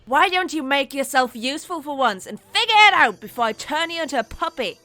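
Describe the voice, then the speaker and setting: A woman's voice: sits high, animated, fairly quick, pushed and bright, soft background music. A woman playing a haughty, short-tempered young witch leader in a cartoon fandub. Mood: impatient, menacing. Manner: haughty, threatening.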